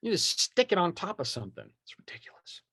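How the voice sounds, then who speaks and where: A man's voice: sits high, wildly swooping pitch, fast talker, crowd noise. A man in a casual podcast chat, opinionated and rambling as he thinks aloud. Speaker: podcast speaker discussing film franchises.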